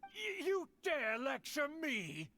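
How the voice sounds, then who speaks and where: Haughty older aristocrat uncle, theatrical, scolding, pompous, very animated intonation, game villain dialogue. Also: male voice, very high-pitched, slow, slight background noise, full character voice. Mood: outraged. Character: haughty, indignant older noble uncle, domineering clan elder. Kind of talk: scripted game voice acting.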